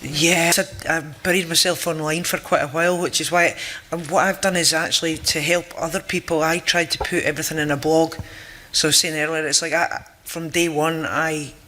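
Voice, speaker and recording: Brief: chatty patient panelist, bright tense tone, a little high, little pitch movement. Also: male voice, flat delivery, a little fast, hardly any pauses. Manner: chatty, joking. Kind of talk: casual panel talk.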